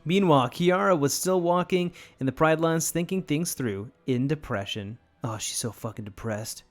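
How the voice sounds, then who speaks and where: Male co-commentator, fairly high voice, sarcastic sweary read-aloud and banter over music. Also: normal speed. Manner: sarcastic, profane. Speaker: commentator reading fan fiction.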